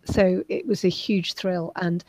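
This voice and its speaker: Low-voiced, thoughtful woman journalist. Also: fairly even intonation, a little mellow, dry recording. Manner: thoughtful, measured, many "um"s. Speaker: documentary filmmaker and journalist. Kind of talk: interview answer.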